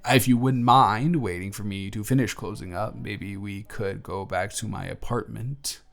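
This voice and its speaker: A man's voice: fairly low pitch, steady tone, moderate pace, creaky, fairly bright tone, soft background music. A young man playing a friendly, smitten male barista in an intimate romantic audio roleplay, earnest, conversational and slightly flustered. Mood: playful, affectionate. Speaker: voice actor.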